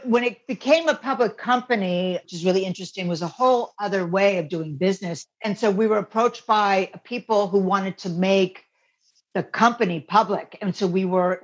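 Woman biz owner talking candidly, kinda halting, dull lo-fi audio. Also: mid-range voice. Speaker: business owner guest. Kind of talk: casual interview.

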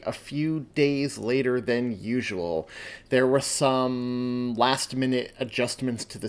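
A man hosting a podcast and reading his own story aloud, moving between casual talk and narration. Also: mid-range voice. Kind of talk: casual podcast intro and audiobook-style narration.

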